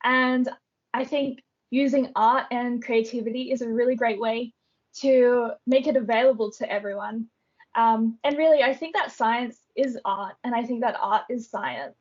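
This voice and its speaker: A young Australian woman answering interview questions in a relaxed, thoughtful way, with frequent ums. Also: a little high, normal speed, lo-fi sound. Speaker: guest artist.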